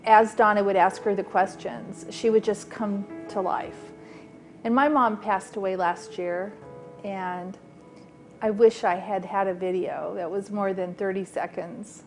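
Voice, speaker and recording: A woman's voice: mid-range voice, steady tone, a little slow, faint music behind. A woman who works as a nurse, from California, speaking in a heartfelt way in an interview. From Southern California, US. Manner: heartfelt, laughs easily. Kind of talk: reflective interview testimony.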